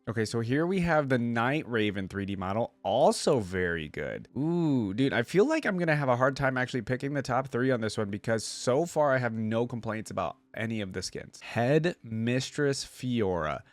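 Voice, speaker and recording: A man's voice: medium pitch, sing-song, normal speed, few pauses, no room sound. A man hosting a reaction video with casual commentary, slangy and informal, thinking aloud as he goes.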